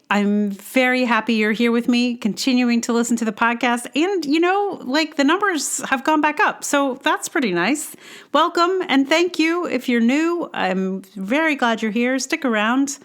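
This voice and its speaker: A woman hosting a podcast alone, talking casually and haltingly as she thinks aloud in loose, informal phrases. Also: fairly high pitch, fairly bright tone. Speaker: podcast host. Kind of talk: casual solo podcast talk.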